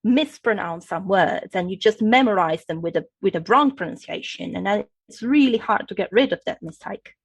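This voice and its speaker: An Italian woman with an Italian accent speaks in a mid-pitched voice with a gravelly edge. She explains patiently like a teacher, often checking in with her listener. The sound is dry and close. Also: lively intonation, moderate pace, few pauses, dry recording. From Italy. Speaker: guest, English linguistics professor. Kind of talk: explanatory interview talk.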